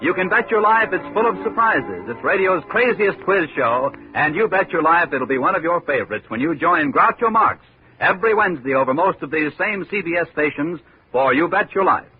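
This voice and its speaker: A man's voice: fairly high pitch, expressive, fairly quick. An American man delivering a scripted 1950s radio network promo with upbeat salesmanship. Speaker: network announcer. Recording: dull 1950s radio recording, music.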